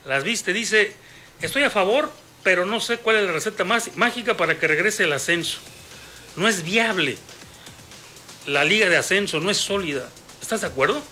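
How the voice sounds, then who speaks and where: A man's voice: sits high, lively intonation, moderate pace, bright, forceful tone, faint music behind. A man hosting a sports radio interview, chatty and rambling with many fillers.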